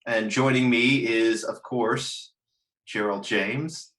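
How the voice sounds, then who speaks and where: A man hosting a casual group chat about pop culture, joking and quick to laugh. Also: medium pitch, steady tone, a bit bright. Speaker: host of a gaming chat show.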